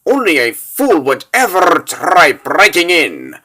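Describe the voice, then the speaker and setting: A bookish, courteous plotter lays out a scheme in grand, formal words for a comic dub , acted by a man. The voice sits fairly high and bright, and moves slowly with lively swings in pitch. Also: breathy edge, exaggerated voice. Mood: assured. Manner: formal, theatrical, over-articulate. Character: polite, scholarly male planner of a prison break, long-winded. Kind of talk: comic book dub, dramatic character voices.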